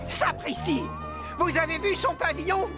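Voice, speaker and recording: Excitable, swooping little pirate lookout. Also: female voice, very high voice, moderate pace. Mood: alarmed. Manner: excitable, exclamatory. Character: excitable small pirate lookout crewmate, exclaiming. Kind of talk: French children's cartoon dubbing. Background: background music.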